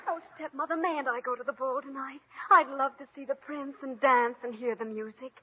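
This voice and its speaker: A woman's voice: high-pitched, steady tone, brisk, close to an everyday voice. A woman playing a sweet, meek, wistful young girl, polite, earnest and breathless, in old radio play style. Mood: pleading, hurt. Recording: muffled old radio recording.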